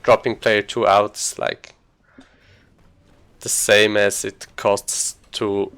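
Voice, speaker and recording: A man's voice: on the low side, little pitch movement, slow, a bit bright, clean tone. A man giving casual, rambling live commentary as he speedruns a video game, thinking aloud as he plays. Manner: rambling, informal, thinking aloud while playing.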